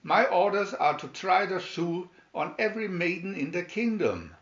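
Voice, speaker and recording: Storybook nobleman read by a man: pompous, anxious Grand Duke, formal delivery, somewhat high, echoey room. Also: big pitch swings, normal speed, a bit bright, muffled recording. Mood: insistent. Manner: formal, fretful. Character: anxious, pompous male Grand Duke of a fairy tale kingdom. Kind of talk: fairy tale storybook reading.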